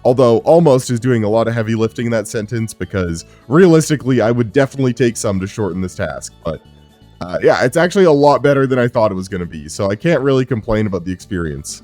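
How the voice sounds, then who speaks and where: A man's voice: mid-range voice, fast, faint music behind. Man chatting over gameplay, a bit sick. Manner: relaxed, rambling, frequent "uh" fillers. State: sick, strained low voice. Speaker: gaming YouTuber. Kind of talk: casual gameplay commentary.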